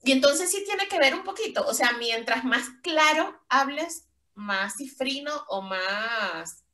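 Bright Venezuelan woman in a roomy space. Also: sits high, lively intonation, slow pace. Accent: Venezuelan, softened. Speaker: podcast co-host. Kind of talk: casual chat.

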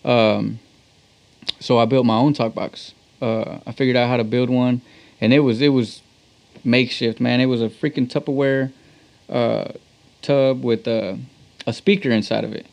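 A man's voice: medium pitch, fairly even intonation, normal speed, creaky, darkish tone. Enthusiastic American man, slangy talker. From Texas, United States. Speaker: guest, musician. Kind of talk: casual interview chat.

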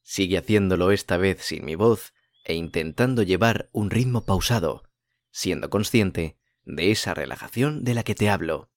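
A man narrating a guided meditation as host, speaking in a calm, soothing, unhurried way with long pauses. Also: low-pitched, no echo.

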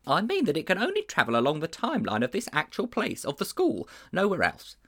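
A man's voice: on the high side, brisk, pitched above the performer's own voice, heavily acted. A man voicing an earnest, anxious older schoolteacher who speaks formally and a little fussily. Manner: formal, slightly fussy, animated.

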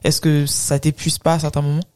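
A woman's voice: low-pitched, little pitch movement, brisk, a little mellow. A woman hosting a conversational interview, speaking in a thoughtful, informal and wordy manner. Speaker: podcast host, interviewer.

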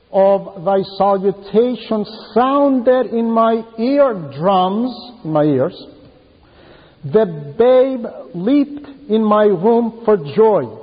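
A man preaching a sermon in an emphatic, deliberate manner, repeating key phrases for emphasis. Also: fairly high pitch, husky. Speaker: preacher reading a translated homily. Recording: muffled, very reverberant hall sound.

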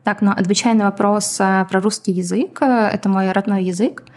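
A woman from Ukraine, a podcast guest, answering questions in a measured, explanatory way with frequent pauses and fillers. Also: mid-range voice, fairly even intonation, a little mellow. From Donetsk, Ukraine. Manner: measured, explanatory, many hesitations. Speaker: guest, community founder.

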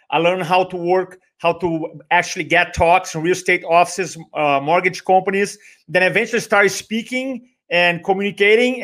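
A man from Brazil with a Brazilian accent talks with energy in a podcast interview, his voice sitting a little high for a man. He doubles up his words for emphasis and acts out little bits of dialogue as he tells his story. Portuguese is his first language. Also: a bit bright. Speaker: guest, speaker and trainer.

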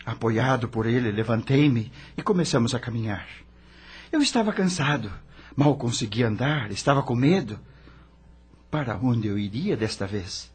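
A man's voice: mid-range voice, expressive, normal speed, husky. An actor playing an anguished dead rock star who narrates his own suffering dramatically in a radio drama. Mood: weary, fearful.

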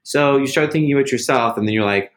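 A man's voice: mid-range voice, fast talker. Rambling, self-searching man in casual chat. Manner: loud at times.

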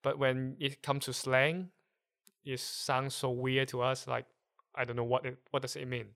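A man from Hong Kong whose first language is Cantonese, speaking English with a Hong Kong accent as a guest, explaining things haltingly while searching for words. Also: mid-range voice, level tone, vocal fry, fairly bright tone. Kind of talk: casual conversation.

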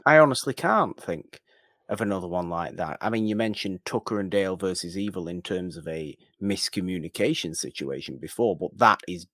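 A man's voice: deep voice, lively intonation, a little fast, fairly bright tone, dry recording, studio quality. A man co-hosting a podcast, casually discussing films in an emphatic way, often repeating words and phrases for stress. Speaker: podcast co-host.

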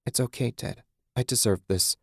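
A man's voice: mid-range voice, normal speed, vocal fry, breathy edge, no room sound, studio quality. A man voicing a self-loathing, beaten-down mother in an audiobook, confessing and pleading. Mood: resigned. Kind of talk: audiobook character acting.

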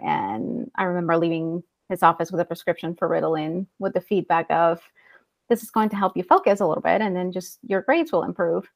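Candid woman, lowish voice, close dry sound. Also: no echo. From El Salvador. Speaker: mental health therapist. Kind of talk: casual personal storytelling.

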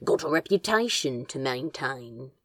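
A woman playing a bashful, grumbling flirtatious man in audio fiction, with a husky, growly voice and halting drawl. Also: very deep voice, animated, fairly bright tone, heavily acted. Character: male Crowley, bashful grumbling flirt with gruff edge.

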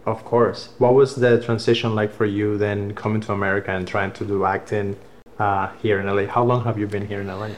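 Cuban-born guy hosting a chat show, excited, tells stories. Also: lowish voice, brisk. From Cuba. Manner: enthusiastic, storytelling. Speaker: host. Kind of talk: casual interview chat.

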